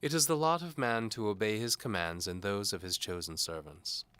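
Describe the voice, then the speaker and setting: An older bishop of the Middle Ages played by a man preaches with haughty conviction. His delivery is forceful and lifted in pitch, didactic and commanding, as in an audio drama. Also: moderate pace, clear, resonant tone, dry recording. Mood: haughty, certain. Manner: didactic, pompous. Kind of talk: audio drama character acting.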